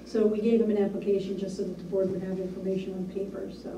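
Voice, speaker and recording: A woman reporting to a town board in a measured, businesslike way with frequent ums, recorded in a small municipal meeting room by a distant camera mic. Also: mid-range voice, flat delivery, reverberant, noisy background. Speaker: town administrator. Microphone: far room camera.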